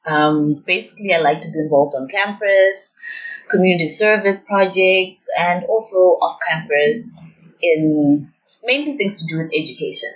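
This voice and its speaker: A woman's voice: on the low side, moderate pace. A woman, explaining her research as an interview guest. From Zimbabwe. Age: 20s. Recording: dull lo-fi audio.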